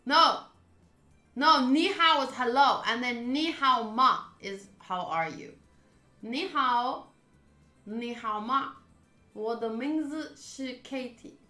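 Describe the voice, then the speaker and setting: A chatty, expressive woman, a native Afrikaans speaker, streaming a game with casual talk and reading aloud. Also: fairly high pitch, takes it slowly. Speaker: streamer playing a visual novel. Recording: roomy sound with music underneath. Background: game music.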